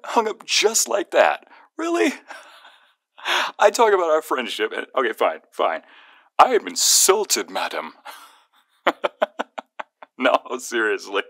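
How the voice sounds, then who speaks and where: A man's voice: fairly high pitch, a bit bright, no echo, studio quality, heavily acted. A man playing a playful, protective young male friend who is secretly fond of the listener, in a one-sided ASMR roleplay phone call with teasing, earnest banter. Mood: playful, mock indignant.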